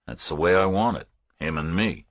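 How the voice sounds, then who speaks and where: A man voicing a stubborn, hard-boiled lone operative in an audiobook, terse, flat and resolute. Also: deep voice, moderate pace, breathy edge, dry recording, studio quality, exaggerated voice. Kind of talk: audiobook character dialogue.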